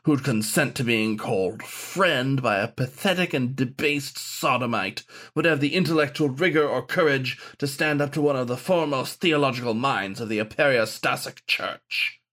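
A man's voice: medium pitch, moderate pace, hardly any pauses, a bit bright, pitched above the performer's own voice, lightly acted. A man voicing the sneering ghost of a zealous wolfhound saint, declaiming in a pompous, archaic manner. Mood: scornful.

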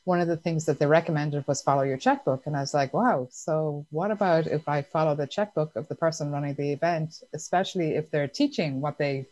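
A woman with an Irish accent, chatting as a guest in an interview, laughing easily and filling pauses with "um" and "like". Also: low-pitched, darkish tone, dry recording, rough recording. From Ireland. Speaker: guest entrepreneur. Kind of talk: casual interview answers.

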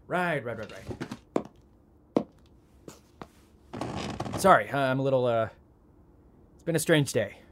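A man's voice: mid-range voice, lively intonation, background noise, lightly acted. Frazzled, sarcastic young heir acted by a man. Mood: flustered. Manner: wry, flustered, halting. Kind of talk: audio drama acting, naturalistic.

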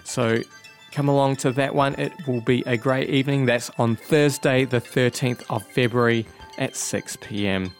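A man's voice: mid-range voice, steady tone, moderate pace, faint music behind. A man with a New Zealand accent hosting a podcast, friendly and upbeat. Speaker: podcast host. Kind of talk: podcast intro and interview.

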